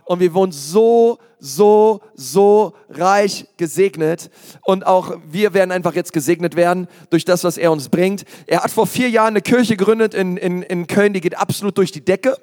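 A man's voice: sits high, normal speed, a little mellow. A man from Berlin introducing a guest pastor to a church congregation in an effusive, hype-filled way. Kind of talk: stage introduction. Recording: long reverb of a church hall.

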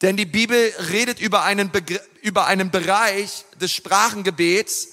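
A man delivers a sermon with earnest emphasis, often pausing on fillers and restarting phrases. His voice sits high and rings bright and forceful. The sound carries a long reverb from a large church hall. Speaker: preacher.

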